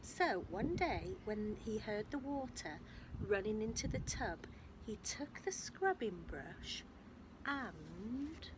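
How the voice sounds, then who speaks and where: A woman's voice: medium pitch, sing-song, takes it slowly, noisy background. A woman reads a picture book aloud to young children, expressively and emphatically, chatting to her listeners as she goes. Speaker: infant school storyteller.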